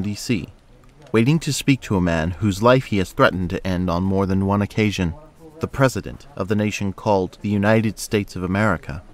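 A man narrating an audio drama from a script in the present tense. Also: fairly low pitch.

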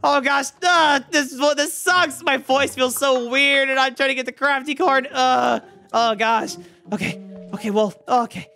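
Frantic, high-voiced gaming host. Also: male voice, moderate pace, gravelly. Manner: frantic, excitable exclamations. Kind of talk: panicky game roleplay narration. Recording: faint background music.

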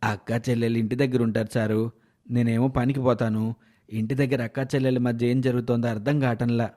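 A man playing a worried, humble, hardworking village father in an animated moral story. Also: medium pitch, fairly quick, lightly acted.

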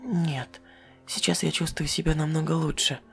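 Woman as a low, flat-toned skeleton patient. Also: moderate pace, creaky, faint music behind. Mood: weak, grateful. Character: weary, grateful young skeleton patient. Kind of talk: comic dub acting.

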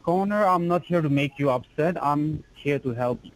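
A man posing as an officer on a phone call, talking formally and insistently with halting restarts. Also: on the high side.

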